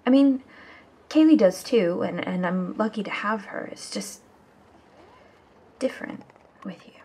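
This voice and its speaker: A woman playing a vulnerable, earnest young woman in an ASMR audio drama, speaking softly and haltingly. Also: mid-range voice, animated, slightly rough. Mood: tender, hesitant. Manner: hesitant, halting, soft.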